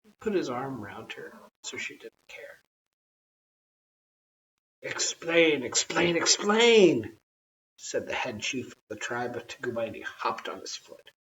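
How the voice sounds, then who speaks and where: An adult reader narrating a bedtime story in an expressive, measured storytelling manner. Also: mid-range voice, distant mic, lo-fi sound, slight background noise.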